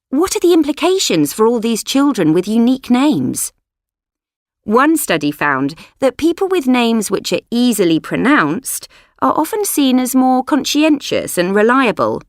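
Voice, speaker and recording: Brief: female narrator for a course text, clear and measured, animated intonation, dry studio sound. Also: mid-range voice, no room sound. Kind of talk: scripted narration.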